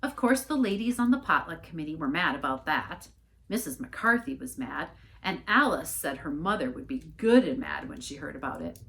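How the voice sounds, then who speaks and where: A woman reading a storybook aloud in an expressive narrating style. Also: low-pitched, normal speed. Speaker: story reader, church staff member.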